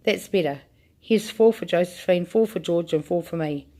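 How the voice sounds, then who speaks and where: A woman's voice: on the low side, normal speed, mellow, close to an everyday voice. Prim, fussy old grandmother, voiced by a woman reader.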